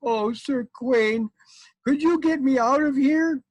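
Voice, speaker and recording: A man's voice: very high voice, takes it slowly, dry recording, pitched above the performer's own voice, heavily acted, poor audio. A man voicing a distressed, complaining storybook wife with a plaintive, feminine lilt. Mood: pleading. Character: crying village women and a fed-up trapped pumpkin wife. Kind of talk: live storytelling for an audience.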